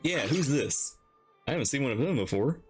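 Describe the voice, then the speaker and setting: A woman's voice: rumbling low, lively intonation, fairly quick, over music. A woman streamer giving chatty, playful, casual live reaction commentary while playing a game.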